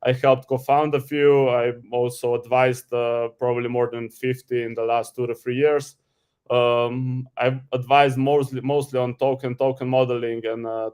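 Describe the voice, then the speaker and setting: A man's voice: medium pitch, a little mellow, clean tone. A man from Slovenia with a Slovenian accent, a guest explaining his professional background informally on an online call. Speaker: guest, crypto treasury specialist. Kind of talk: informal professional self-introduction.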